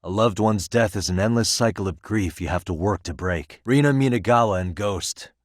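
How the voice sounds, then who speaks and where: A man plays a cool, principled investigator from a video game. The voice is very low-pitched and recorded dry and close, with no room sound. The delivery is casual and earnest, with an easygoing confidence. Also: normal speed, dry recording, natural voice. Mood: firm, rallying. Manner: casual, self-assured, earnest. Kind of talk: English video game voice acting.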